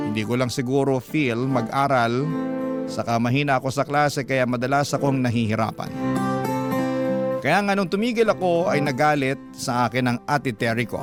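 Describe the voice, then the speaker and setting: A man narrating a letter in earnest classic radio style, as host. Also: on the high side. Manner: earnest, storytelling. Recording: over guitar music.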